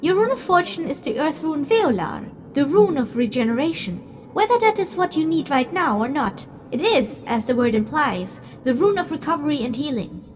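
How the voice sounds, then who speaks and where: A very high, swooping female spirit teases mortals with theatrical relish. She is a playfully condescending fortune-teller in an audio drama, heard over faint ambient noise. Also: very animated, moderate pace, few pauses, darkish tone. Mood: mystical, playful. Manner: theatrical, teasing, chuckling. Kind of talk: audio drama acting, direct address to listeners.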